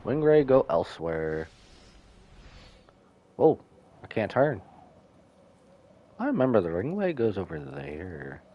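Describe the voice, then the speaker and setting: A man's voice: on the low side, big pitch swings, slow pace, long pauses, highs cut off. A man giving casual, slangy live commentary as he streams a video game.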